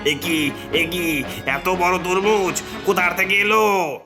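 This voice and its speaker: A man's voice: sits high, takes it slowly, loud music behind the voice. A man voicing a cowardly, alarmed village headman in a horror cartoon, repeating his phrases. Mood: terrified pleading. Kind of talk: cartoon horror story acting.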